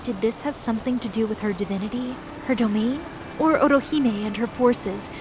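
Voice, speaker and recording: A woman giving expressive, scripted dramatic narration as the storyteller of a fantasy audio drama. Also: a little high, brisk, noisy background.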